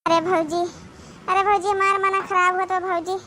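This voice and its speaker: A woman's voice: extremely high pitch, flat delivery, normal speed, bright, forceful tone, a little hiss or hum. A woman voicing a whining, frightened young village girl in an Awadhi comedy cartoon, pleading repetitively. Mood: anxious. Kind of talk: melodramatic comedy cartoon dialogue.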